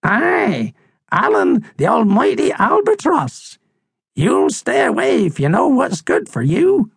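A crusty old female seabird from a children's storybook. The voice sits high and swoops dramatically, salty and full of dark warning, with slow, weighty delivery. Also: huge pitch swings, vocal fry, slightly rough, no echo, clean studio sound, exaggerated voice. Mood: ominous warning. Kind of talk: storybook character reading.

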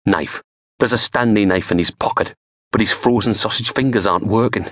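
A middle-aged man reading a crime novel aloud in a dramatic, measured storytelling manner. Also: on the low side, fairly bright tone, dry recording, clean studio sound. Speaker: author reading own audiobook. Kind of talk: scripted crime fiction narration.

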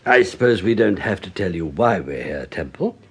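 An actor playing an earnest senior British police chief, husky-voiced, in a vintage radio drama. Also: male voice, low voice, expressive, moderate pace, exaggerated voice. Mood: grave.

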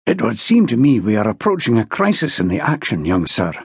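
A man voicing a calm, wry infantry major in an audiobook. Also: fairly low pitch, brisk, breathy edge, no room sound, studio quality, pitched below the performer's own voice, full character voice. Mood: wry, kindly.

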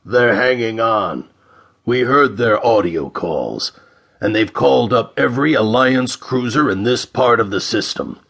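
A man voicing a big, dour Mercurian spaceman in a deep, gruff growl for an audiobook. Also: lively intonation, a little slow, a bit bright, exaggerated voice. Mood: grim. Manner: dour, grumbling.

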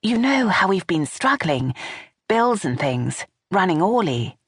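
A woman's voice: on the low side, sing-song, measured pace, breathy edge, no room sound, voice pushed up, full character voice. Hesitant, nervous widow, read by a woman, in a dry, close recording. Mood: pleading. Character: anxious widowed businesswoman, hesitant and placating.

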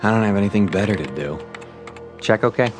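An actor plays a sour husband in a radio drama. He is dryly sarcastic in a low voice, with music clearly behind him. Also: fairly quick, close to an everyday voice. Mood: sarcastic, bored. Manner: dry, sarcastic.

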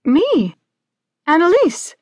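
A woman's voice: very high voice, wildly swooping pitch, slow, a little mellow, no echo, clean studio sound, voice pushed up, exaggerated voice. A woman voicing a polite, plucky but unsure young girl in a storybook, with an innocent manner.

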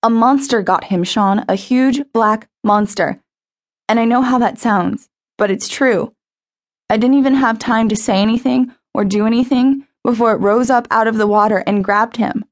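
Tearful teen girl, urgent and pleading, pitched high, read by a woman. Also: normal speed, close to an everyday voice. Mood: distraught. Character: grieving teenage girl, insistent and tearful.

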